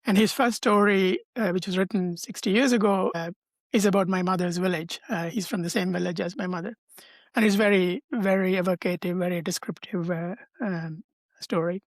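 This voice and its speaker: A middle-aged man, answering interview questions in a measured, thoughtful way over a video call. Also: sits high, steady tone, dry recording. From Sri Lanka. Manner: measured, thoughtful, with frequent hesitations and restarts. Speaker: guest, professor and translator.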